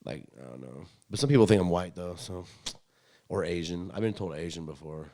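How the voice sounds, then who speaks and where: Young dude, kinda low voice, casual podcast storytelling, slangy and sweary. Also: male voice, fairly quick. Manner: slangy, profane, animated when acting out quotes. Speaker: podcast host. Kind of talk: casual rambling storytelling chat.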